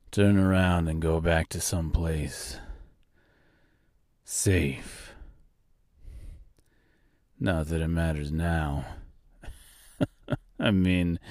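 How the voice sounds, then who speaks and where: A man voicing a dying, rusted tin man who taunts in a low voice and laughs between his words. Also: creaky, darkish tone, no room sound, studio quality, full character voice.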